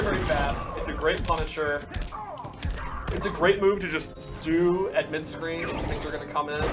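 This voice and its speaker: A man giving an explanatory, instructive gameplay demonstration on a live stream. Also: on the high side. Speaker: game developer demonstrating moves. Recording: music playing behind the voice. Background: music.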